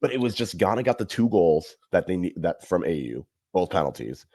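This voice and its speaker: A man co-hosting a casual podcast, giving animated, emphatic analysis of football matches. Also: sits low, brisk. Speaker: podcast co-host. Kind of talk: casual sports chat.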